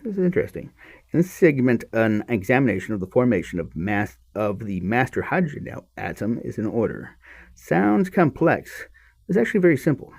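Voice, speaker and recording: A man reads an explanatory science text aloud in a soft-edged, husky voice. His pitch swings widely as he stresses key phrases, and he halts, repeats words and drops into offhand asides. The tone stays mellow and dark. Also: sing-song. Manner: emphatic, halting, with repeated words and muttered asides. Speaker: podcast host reading a book aloud.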